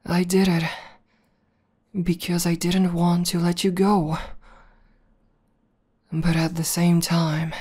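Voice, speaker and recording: A woman performs a battle-hardened tomboy demoness in an intimate ASMR roleplay. Her voice is lowish and dark, with very little rise and fall. She speaks softly and breathily, halting often, like a devoted lover being earnest. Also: little pitch movement. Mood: vulnerable, earnest. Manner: soft, breathy, halting and earnest delivery, often dropping to whispers.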